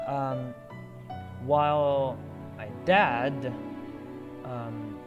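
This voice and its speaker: Hesitant rambling male streamer. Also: a little high. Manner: rambling, hesitant, many fillers. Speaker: streamer playing a story game. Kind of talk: casual game commentary.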